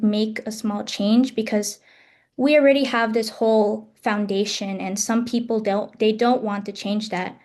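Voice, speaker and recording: A young woman from the United States answers panel questions over a video call. Her voice sits a little high, and she talks in hesitant bursts full of fillers and restarts. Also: darkish tone. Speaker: student presenter. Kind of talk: online panel Q&A.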